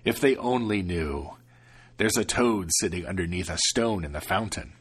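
A man voicing a grumpy, suspicious old male devil in a slow, gruff growl. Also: deep voice, animated.

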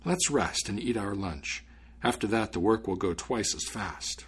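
A man voicing a practical older father in a storybook, chiding his son in an unhurried way. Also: lowish voice, animated, husky, full character voice. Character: practical, scolding older father, a poor woodcutter.

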